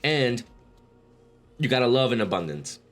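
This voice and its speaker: A man's voice: mid-range voice, sing-song, normal speed, a bit bright, faint music behind. A man reading a book aloud as a read-along host, with informal, slangy commentary. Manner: profane, informal asides with bro slang.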